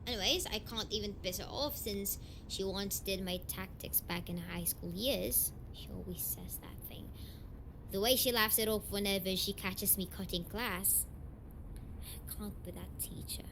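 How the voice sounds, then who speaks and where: A woman's voice: mid-range voice, lightly acted. Woman acting a defensive young delinquent girlfriend, noisy recording. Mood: grumbling, evasive. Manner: hesitant, halting, foul-mouthed, defensive. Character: young tsundere delinquent girlfriend, defensive, blunt, secretly hurting. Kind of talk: amateur romantic audio roleplay acting.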